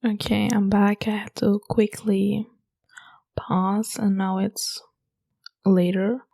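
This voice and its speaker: Hushed young woman. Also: mid-range voice, level tone, slow pace, vocal fry. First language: German. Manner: hushed, half-whispered talk to avoid waking roommates. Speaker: podcast host. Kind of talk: casual book discussion. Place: room at home at night.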